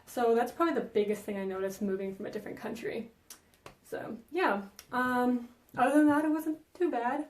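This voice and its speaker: Woman, young adult, native Danish speaker, chatty, frequent fillers, fairly high pitch. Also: normal speed, roomy sound. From Denmark. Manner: chatty, frequent ums and likes. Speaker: vlogger. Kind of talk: casual Q&A vlog.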